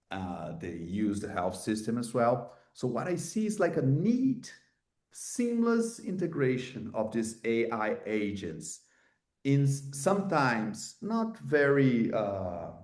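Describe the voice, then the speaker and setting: A man who is a native Portuguese speaker, explaining his points conversationally as an interview guest. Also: on the low side. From Brazil or another developing Portuguese-speaking country. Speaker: guest expert and author.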